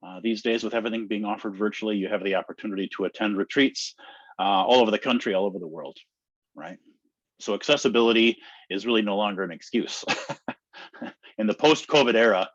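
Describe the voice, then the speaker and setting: A man's voice: on the low side, slightly rough, no room sound. A man giving an informal meditation talk, speaking conversationally and often asking "right?" to his listeners. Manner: conversational, frequent "right?" and "you know". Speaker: meditation teacher. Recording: dull, lo-fi dry recording.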